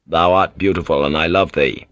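A man's voice: low-pitched, steady tone, normal speed, a bit bright, smooth, dry recording, dull audio, pitched below the performer's own voice. A man playing an earnest, gallant man in an audiobook, with formal, archaic phrasing. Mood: ardent, gallant.

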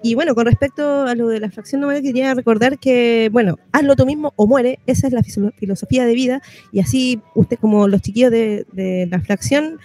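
A woman's voice: a little high, fairly quick, a little mellow. A woman with a Chilean accent hosting an informal community radio show in a colloquial, familiar way. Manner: colloquial, addresses listeners familiarly. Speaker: radio host.

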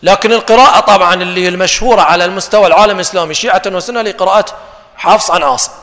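A man's voice: high voice, racing, a bit bright. A man moderating a panel discussion. He talks animatedly and draws out the verses he recites. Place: discussion forum in a hall. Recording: reverberant hall sound.

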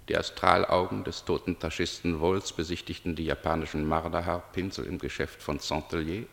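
A man reading scripted literary narration for a radio play in a measured, observational way. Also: a bass voice, creaky, big-room sound.

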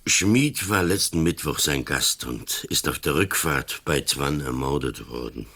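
An actor playing an old, composed police commissioner in a radio play, speaking calmly and drily. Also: male voice, a bass voice, vocal fry, breathy edge, full character voice. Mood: dry, matter-of-fact. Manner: calm, deliberate, dry.

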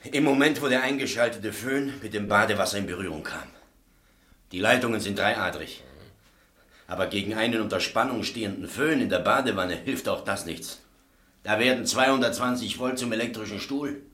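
A no-nonsense electrician with a very low voice. He speaks in clipped, exact phrases, like a master instructing apprentices, in a radio crime play. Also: normal speed, sharp tone. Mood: matter-of-fact. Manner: precise, emphatic, clipped technical explanations. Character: matter-of-fact male electrician and shop owner, master of apprentices. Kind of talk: radio crime drama dialogue.

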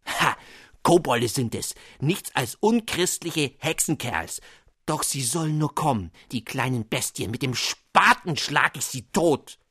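A man voicing a frightened, indignant young country maiden who speaks in shrill exclamations. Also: animated, a little slow, a bit bright, slightly rough, dry recording, clean studio sound. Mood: defiant.

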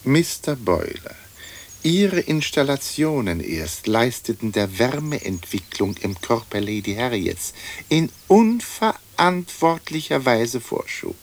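An actor playing a haughty old British lord, a ghost speaking in ornate, pompous German radio play style. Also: male voice, mid-range voice, sing-song, slow pace. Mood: indignant, wry. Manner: pompous, ornate aristocratic speech.

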